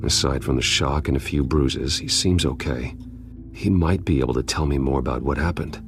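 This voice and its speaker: An actor playing a grim, protective masked vigilante detective in a video game, speaking tersely in a gravelly growl. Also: male voice, very deep voice. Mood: serious. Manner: terse, deliberate. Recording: music behind the voice.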